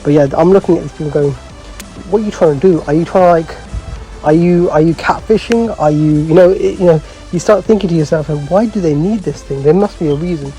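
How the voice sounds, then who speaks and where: Slangy, mellow-voiced man chatting casually. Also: a little high, brisk, poor audio. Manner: slangy, swearing, bemused, conversational. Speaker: host. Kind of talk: casual chat, storytelling. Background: background music.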